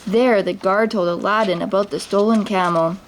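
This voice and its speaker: A woman's voice: medium pitch, fairly even intonation, moderate pace, slight background noise. Woman reading a children's storybook. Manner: gentle, with small slips and restarts. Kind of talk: storybook read-aloud.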